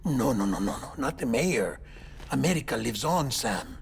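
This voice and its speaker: An actor playing an eccentric, chatty middle-aged coroner in a video game cutscene, with theatrical, halting phrasing. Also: male voice, medium pitch, animated, vocal fry, slight background noise. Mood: earnest, persuasive. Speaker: doctor and former coroner in a game story.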